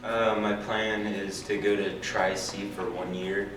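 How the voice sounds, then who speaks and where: Very low-voiced young man, flat delivery. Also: slow. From Ohio, United States. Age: young adult. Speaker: graduating high school senior. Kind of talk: informal on-camera interview. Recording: roomy, far from mic, music behind.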